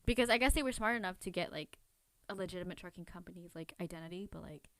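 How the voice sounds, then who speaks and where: A woman's voice: lowish voice, fast, no echo. Rambling, informal woman podcaster in dry sound. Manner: informal, rambling, self-questioning, filler-heavy with frequent "like". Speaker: podcast host. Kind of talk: casual chatty true crime podcast commentary.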